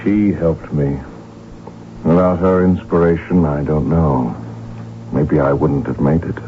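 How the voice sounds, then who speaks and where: Lovestruck archduke, very low voice, earnest, formal, determined, dull old radio drama recording. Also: male voice, steady tone, a little slow, a little hiss or hum. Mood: ardent. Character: lovestruck, determined Habsburg archduke, heir to the throne. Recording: dull vintage radio broadcast with music.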